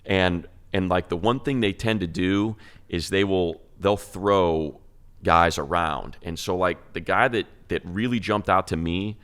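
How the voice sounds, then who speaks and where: A man's voice: sits low, unhurried, a bit bright, smooth. A man chatting casually and emphatically as a football show panelist, breaking down players and game film.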